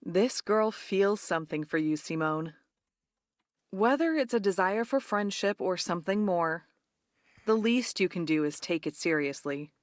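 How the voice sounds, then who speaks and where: A woman's voice: on the low side, expressive, a little slow, dry recording, studio quality. A woman voicing a laid-back, perceptive young woman speaking frankly in a visual novel game. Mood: serious.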